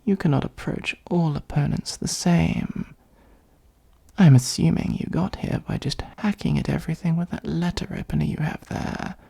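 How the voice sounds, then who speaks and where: A performer of unclear sex voicing an ancient, haughty dragon in a comedic fantasy ASMR roleplay, with a breathy, husky, gravelly voice and a sardonic, condescending, musing manner. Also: mid-range voice, normal speed, dark tone. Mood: haughty, bargaining. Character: ancient gold-hoarding dragon, haughty, dryly exasperated.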